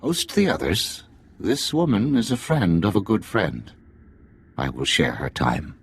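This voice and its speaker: A man playing a jaded middle-aged undercover spy in a video game, with a gravelly, husky voice and a sardonic, deliberate manner. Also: fairly low pitch, very animated, exaggerated voice. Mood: formal, courteous. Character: jaded male Imperial spy posing as alien tea house owner, middle-aged father. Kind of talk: cinematic video game dialogue.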